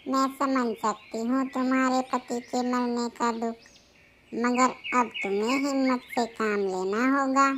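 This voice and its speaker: A woman plays a caring cartoon pigeon, a female friend who sounds sympathetic and encouraging. Her high voice moves very slowly, with faint birdsong in the recording. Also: fairly even intonation, fairly bright tone, slight background noise. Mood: concerned. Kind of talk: Hindi children's cartoon voice acting.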